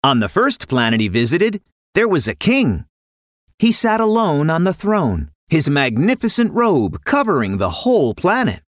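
Animated woman narrating a children's story. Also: low-pitched, normal speed, no echo, studio quality. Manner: expressive storytelling.

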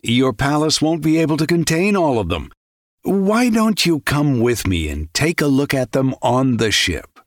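A man's voice: fairly high pitch, big pitch swings, unhurried, dry recording, clean studio sound. An actor playing a loyal, cautious male servant in a children's story, respectful and a little hesitant. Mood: helpful, persuasive. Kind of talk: children's audio story acting.

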